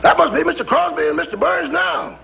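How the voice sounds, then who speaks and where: A man playing a droll, wisecracking household valet with a raspy voice in a 1950s radio comedy. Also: extremely high pitch, animated, fairly quick, exaggerated voice. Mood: announcing. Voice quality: gravelly, raspy. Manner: droll, comic complaining to his boss. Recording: very noisy dull 1950s radio broadcast.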